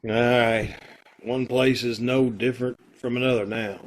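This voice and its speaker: A man's voice: mid-range voice, level tone, a little slow, lightly acted, low-quality recording. A man acting a stubborn, unrepentant condemned murderer in an old-time radio play, plain-spoken and stoic. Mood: weary, polite. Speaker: amateur radio-troupe actor.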